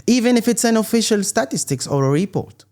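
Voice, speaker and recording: A man's voice: high-pitched, lively intonation, brisk, a little mellow. A middle-aged man from Tunisia, a guest in an interview, talking earnestly with many restarts and an emphatic "believe me". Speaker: guest, content creator.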